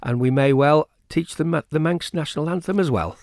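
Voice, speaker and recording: A man's voice: on the high side, normal speed, a little mellow, no room sound, studio quality. A man speaking as an event organiser in an informal radio interview, repeating words hesitantly as he explains. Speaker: choir festival organiser, interview guest.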